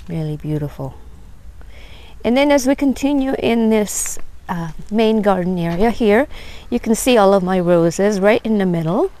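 A woman gives an unhurried, admiring tour of her backyard garden, filming on a handheld phone outdoors. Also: medium pitch, expressive, dark tone, low-quality recording. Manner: unhurried, admiring, many fillers. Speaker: gardener vlogger. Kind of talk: casual garden tour narration.

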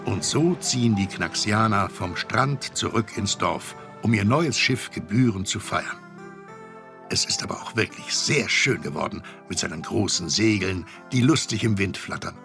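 A man's voice: fairly low pitch, lively intonation, moderate pace, gravelly, music in the background. A man narrating a children's adventure radio play as the storyteller. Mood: storytelling.

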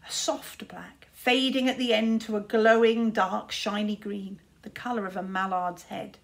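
A middle-aged woman narrating a children's story expressively, with deliberate dramatic emphasis. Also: medium pitch, steady tone, vocal fry. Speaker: teacher reading to pupils. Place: small room indoors.

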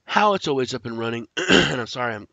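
A man who is sick and stuffed up, casually walking through a screen-share tutorial, rambling with fillers and clearing his throat. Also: mid-range voice, lively intonation, quick pace, pushed and bright, no room sound. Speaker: crypto tutorial host. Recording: dry, dull lo-fi audio.

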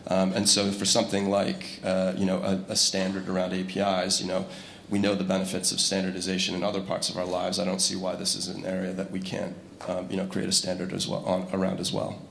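A man on a conference stage talks through a handheld mic in a very deep, monotone, gravelly voice. He is measured and full of fillers and restarts. The hall is roomy with faint ambience. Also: slight background noise. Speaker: startup CEO panelist. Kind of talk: conference panel discussion. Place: large conference room with audience.

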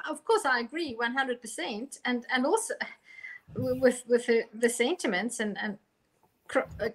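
A woman's voice: fairly high pitch, fairly bright tone, rough recording. A middle-aged woman giving her opinion conversationally as a panelist on a video call. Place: indoor room on a video call.

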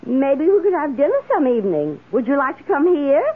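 An actress in a radio drama playing a wistful, lonely, devoted woman with a hesitant, emotive, drawn-out delivery. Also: high voice, very animated. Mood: friendly. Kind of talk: 1970s radio drama acting. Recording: tinny, hissy old radio broadcast.

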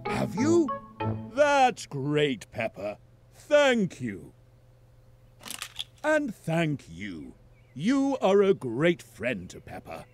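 A man's voice: on the high side, huge pitch swings, extremely slow, pauses often, over music, heavily acted. A man voicing a kindly, jolly father pig in a children's cartoon game, in a fatherly, encouraging manner. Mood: grateful.

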